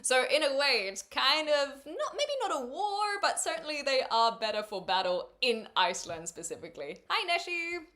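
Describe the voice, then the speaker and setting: A woman's voice: sits high, sing-song, moderate pace, few pauses, bright, forceful tone. A young woman from New Zealand with a faint New Zealand accent, streaming and chatting casually with viewers, thinking aloud with lots of fillers and easy laughs.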